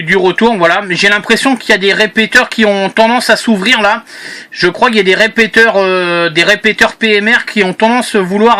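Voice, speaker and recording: A man's voice: high-pitched, sharp tone. A man chatting casually as a radio operator, rambling and repeating himself with trailing hesitations. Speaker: amateur radio operator and video maker. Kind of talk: casual radio contact chat.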